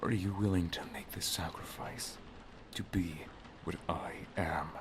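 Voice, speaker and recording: A man playing a solemn, protective wizard master and lover in an ASMR roleplay, speaking softly and gravely, often half-whispering. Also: low-pitched, steady tone, unhurried. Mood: challenging. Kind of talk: ASMR roleplay, dramatic monologue.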